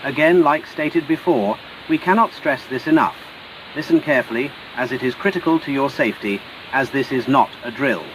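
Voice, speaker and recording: A man's voice: mid-range voice, normal speed, fairly bright tone, close to an everyday voice, poor audio. A man with a British accent playing a grave TV emergency announcer, reading formal, urgent scripted news over hissy audio.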